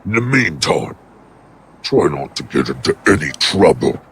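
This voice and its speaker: A man acting a cryptic, grave male mentor with a husky voice in an audio drama. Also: a bass voice, very animated, a little slow, a little hiss or hum, full character voice.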